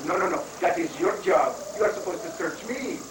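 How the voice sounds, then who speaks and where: A flamboyant foreign guru, played by a man in a comic stage reading, cheerfully dodging and rambling in mock-pious phrases. The voice sits high. It comes from off at a distance in an echoey room, on a noisy 1980s live recording. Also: sing-song, brisk. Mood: chatty, proud. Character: flamboyant wandering foreign guru, rock-obsessed, evasive and cheerful.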